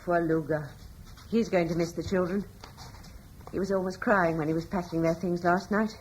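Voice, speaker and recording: A gentle wife, voiced by a woman in a radio play. She speaks softly and coaxes kindly, with a quietly wistful air. Faint hiss runs under the recording. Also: fairly low pitch, steady tone, moderate pace, natural voice, poor audio. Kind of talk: radio drama acting.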